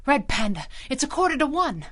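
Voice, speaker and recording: A woman's voice: high voice, sing-song, brisk, a bit bright. A woman playing a plucky, loyal young sidekick in a 1930s-style radio serial, earnest and slangy. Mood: anxious.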